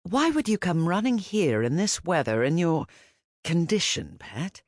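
A woman voicing a gentle, protective older man who speaks softly and calmly, with a firm edge. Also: medium pitch, moderate pace, dry recording, clean studio sound, close to an everyday voice. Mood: gentle, fatherly. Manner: soft, calm and fatherly.

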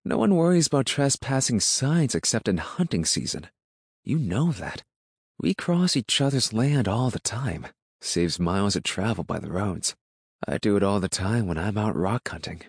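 A man voicing a calm, sensible country husband in an audiobook, with a wry, reasoning, mildly folksy manner. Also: sits low, moderate pace, dry recording, studio quality. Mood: challenging. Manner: reasoning, dryly challenging, folksy. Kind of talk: audiobook character dialogue.

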